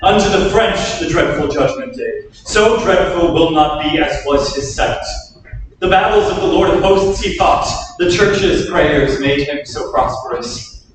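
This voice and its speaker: A man's voice: high-pitched, unhurried. A man playing a reverent, pious English churchman in a declamatory staged reading of Shakespearean verse. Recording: very echoey hall from far off, faint noise.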